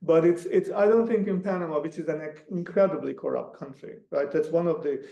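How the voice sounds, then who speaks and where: A man whose first language is Hungarian, a guest speaker answering audience questions in an academic talk, halting with many restarts. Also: fairly high pitch, vocal fry, warm tone. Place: lecture room. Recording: echoey lecture room.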